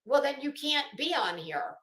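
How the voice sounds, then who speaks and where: A woman's voice: high-pitched, sing-song, normal speed, fairly bright tone, reverberant. A woman hosting a casual livestream, chatting to viewers in a rambling, opinionated, emphatic way. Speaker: livestream host.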